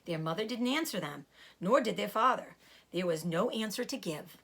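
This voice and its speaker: A woman teacher reading a children's storybook aloud in an expressive way. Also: medium pitch, moderate pace, vocal fry.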